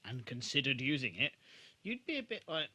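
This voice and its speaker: A man's voice: medium pitch, very animated, brisk, pushed and bright, husky, no room sound. A man co-hosting a podcast, chatting casually and informally about television. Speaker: podcast co-host. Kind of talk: casual chat about a TV show.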